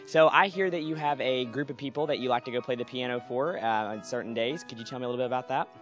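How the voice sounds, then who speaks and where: A man firing off fast interview questions backstage at a convention, his voice sitting at an ordinary middle pitch. He talks quickly and fills gaps with little fillers. Faint music plays behind him. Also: fairly bright tone. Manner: brisk, with filler pauses.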